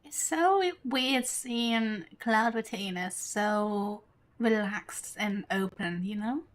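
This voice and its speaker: Slow-paced woman streaming a game. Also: mid-range voice. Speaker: gaming streamer. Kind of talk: casual live game commentary. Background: game music.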